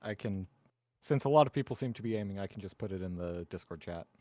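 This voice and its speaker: Low-voiced man chatting, dry close sound. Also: fast, darkish tone, dry recording. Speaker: tabletop game player. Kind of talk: casual chat.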